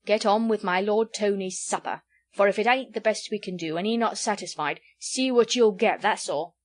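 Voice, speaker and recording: A woman doing a loud, blustering old innkeeper. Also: mid-range voice, moderate pace, close to an everyday voice. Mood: emphatic. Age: 60s. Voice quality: loud, emphatic, sententious. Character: bluff, opinionated male innkeeper, good-humoured.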